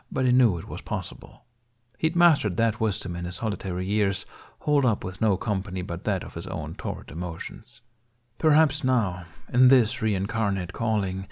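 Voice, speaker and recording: A man's voice: lowish voice, a little mellow. A man reading fiction aloud as a scripted story narration. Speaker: audiobook-style reader of fan fiction.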